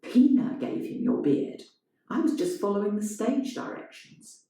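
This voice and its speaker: A woman's voice: medium pitch, big pitch swings, unhurried, gravelly, mellow, recorded from a distance, a little hiss or hum, voice pushed up. A woman voicing a defiant woman theatre producer in a dramatised short story, pressing and challenging. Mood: persuading. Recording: strongly echoing, roomy sound.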